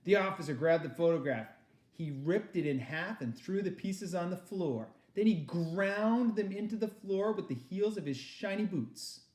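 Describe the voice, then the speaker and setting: A man reading a children's novel aloud with expressive narration, recorded in an echoey room. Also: high voice, moderate pace. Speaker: read-aloud reader.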